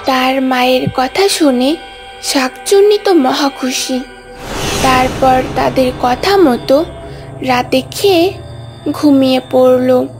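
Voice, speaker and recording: A woman's voice: high-pitched, slow, pauses often. A woman narrating a scripted cartoon story, with music behind her voice.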